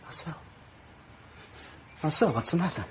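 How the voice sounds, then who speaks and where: An actor playing an obsessive young painter from a rich Parisian family, hesitant and fervent, in a radio drama. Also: male voice, on the high side, animated, unhurried, a bit bright, slight background noise. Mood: eager, earnest.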